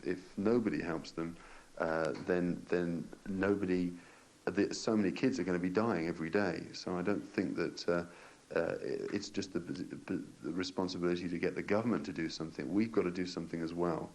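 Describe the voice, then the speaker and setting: A man, a pastor, answering in an interview in a halting, earnest way. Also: low voice, fairly even intonation, darkish tone, low-quality recording. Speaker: local pastor and radio station organiser.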